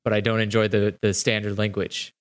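A man's voice: on the low side, monotone, a little fast, over a noisy crowd. A man chatting casually with friends, joking and exaggerating his complaints. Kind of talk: casual group chat.

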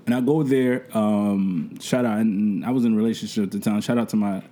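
A young man with a deep voice, a host and podcaster telling stories about his work in relaxed, rambling talk full of slang. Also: a little fast. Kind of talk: casual podcast conversation.

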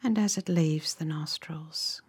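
A woman leading a guided meditation in a calm, measured, instructive way, with soft, breathy phrase endings. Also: deep voice.

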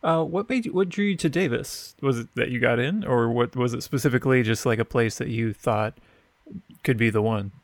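A man's voice: mid-range voice, a little fast, no room sound. A man hosting an interview over a video call, asking curious, friendly questions with short encouraging prompts. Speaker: interviewer, host.

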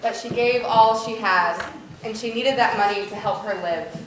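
Woman pastor, high-pitched voice, prepared sermon delivery, very echoey church room. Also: normal speed, background noise. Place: church, indoors, reverberant.

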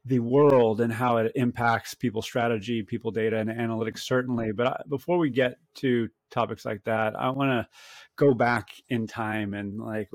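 A man's voice: mid-range voice, fairly even intonation, moderate pace, no room sound. A male interview host from California talks in a loose, chatty way. He circles through several asides before landing on a question. His voice sounds close and dry. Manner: chatty, rambling lead-ins to questions.

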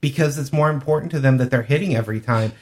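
A man's voice: mid-range voice, quick pace, darkish tone. A man co-hosting a podcast, explaining his take on tabletop games in a casual, emphatic way. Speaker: podcast co-host.

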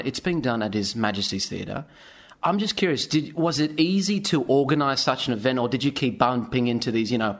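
A man's voice: medium pitch, fairly bright tone. An Australian man interviewing guests in a conversational way as a podcast host.

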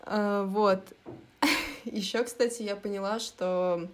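Young female guest, relaxed and even, ordinary mid voice. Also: mid-range voice, takes it slowly. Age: young adult. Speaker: podcast guest. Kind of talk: casual chat.

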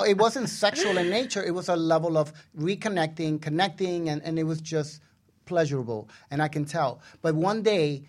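Middle-aged male co-host, slightly high voice. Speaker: radio show co-host. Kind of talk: casual talk show conversation.

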